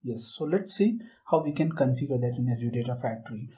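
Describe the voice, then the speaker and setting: A man presenting a software tutorial, explaining a screen-share demo step by step with occasional fillers. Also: mid-range voice, animated, brisk.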